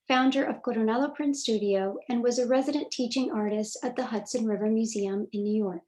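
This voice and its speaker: A woman moderator opens a virtual conversation, reading a prepared script in measured, formal sentences. Her voice sits a little high. She comes through a video call. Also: darkish tone, poor audio. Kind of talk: scripted introduction for a virtual talk.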